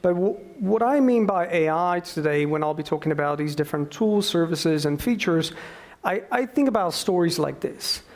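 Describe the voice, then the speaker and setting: A man from Slovakia with a somewhat high voice gives a lecture as guest speaker. He is a law professor and talks in a lively way, often pausing with "um" as he goes. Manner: lively, many "um" fillers. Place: event venue.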